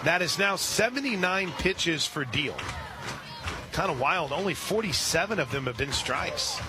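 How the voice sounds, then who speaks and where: A man doing radio play-by-play of a softball game, calling pitches steadily and rising on big plays. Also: unhurried, over music, a crowd in the background. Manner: steady play-by-play with brief asides, rising when excited. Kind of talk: radio sports commentary.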